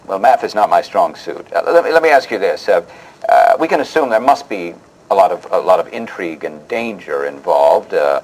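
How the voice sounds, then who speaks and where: A man plays a pompous interview host in a vintage-show spoof. His voice is on the low side and swings widely in pitch. He sounds mock-dramatic and easily flustered. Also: poor audio. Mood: hesitant, probing. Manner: mock-dramatic announcer delivery, halting and hesitant when questioning.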